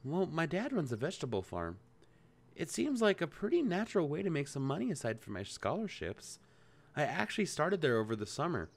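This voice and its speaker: Guy reading brisk farm girl, practical, lively up and down voice. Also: a little high, vocal fry, heavily acted. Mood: matter-of-fact. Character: practical hardworking young farm woman.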